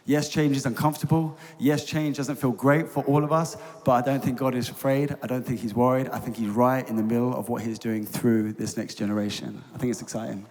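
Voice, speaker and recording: A man's voice: mid-range voice, steady tone, fairly quick, hardly any pauses, darkish tone, slight background noise. A man youth ministry leader giving a preacherly conference talk from a stage, building to emphatic peaks. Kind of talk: conference talk, then hosting a panel. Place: large concert hall on stage. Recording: large hall with long reverb.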